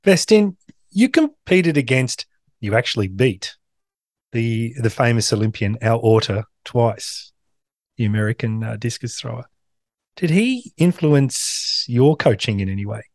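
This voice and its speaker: A man's voice: medium pitch, animated, a little slow, no room sound. A man interviewing a coach, asking questions in a friendly way and listing examples as he goes.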